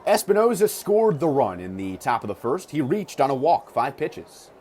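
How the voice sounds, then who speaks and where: A man's voice: medium pitch, big pitch swings, normal speed, a little mellow. A man doing live radio play-by-play of a baseball game as the announcer, with clipped, descriptive calls. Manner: clipped, descriptive calls that swell on big plays. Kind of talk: live sports commentary. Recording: clear ambient ballpark noise behind voice. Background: ballpark ambience.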